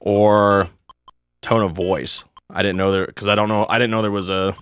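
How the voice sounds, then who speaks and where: A man's voice: lowish voice, steady tone, normal speed, fairly bright tone, slight background noise. A young man from the United States chatting casually while playing a game, rambling and laughing often as he restarts his sentences. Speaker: gaming streamer.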